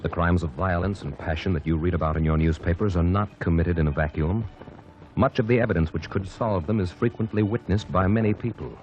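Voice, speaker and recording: A man's voice: sits low, brisk, a little mellow, lo-fi sound. A man announcing and narrating a 1949 radio drama in a solemn, emphatic style.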